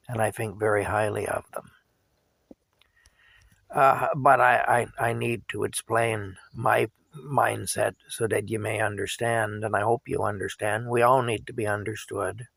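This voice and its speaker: Hoarse, gravelly-voiced man speaking earnestly. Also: lowish voice, a bit bright, no room sound. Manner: earnest, plain-spoken, repeats himself for emphasis. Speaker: vlogger. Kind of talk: candid personal monologue to viewers.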